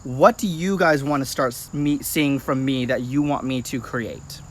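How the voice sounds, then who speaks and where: A man's voice: medium pitch, normal speed, a little hiss or hum. A man in his late twenties chatting casually and informally to viewers on a livestream. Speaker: livestream host, practitioner of folk magic.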